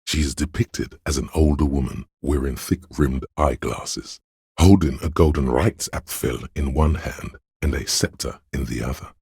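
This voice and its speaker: A man playing the analytical inner detective voice in a game, speaking in a grave, gravelly, deliberate way with a hard-boiled noir delivery. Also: rumbling low, a little mellow, no room sound, clean studio sound, full character voice. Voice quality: gravelly, husky. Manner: deliberate, clinical, slipping into noir detective slang.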